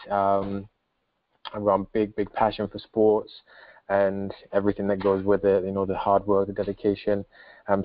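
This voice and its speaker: A young man introduces himself on a remote call, measured and pausing as he goes. His voice is fairly low and stays very even, over dry, slightly dull call audio. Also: dry recording. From Manchester, England. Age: 20s. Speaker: company founder.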